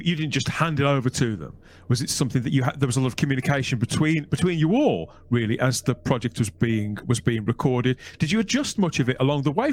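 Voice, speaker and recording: Podcast host guy, careful and a bit halting, mid pitch. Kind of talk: podcast interview.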